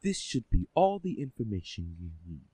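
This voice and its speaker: Composed agency boss for a superhero audio drama, male actor: low, expressive, formal and assured. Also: normal speed, husky, dry recording. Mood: composed, helpful. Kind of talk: scripted audio drama acting.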